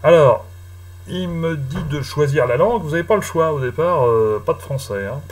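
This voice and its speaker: A man giving a casual screen-recorded software tutorial, talking slowly with many hesitant fillers. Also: fairly high pitch. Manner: hesitant, many "euh" fillers, chatty and apologetic. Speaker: tutorial host.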